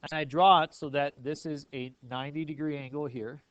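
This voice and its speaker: A man's voice: on the high side, fairly even intonation, brisk, smooth, dry recording, lo-fi sound. A man teaching a math class step by step, often checking understanding with questions. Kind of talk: classroom lesson, explaining step by step.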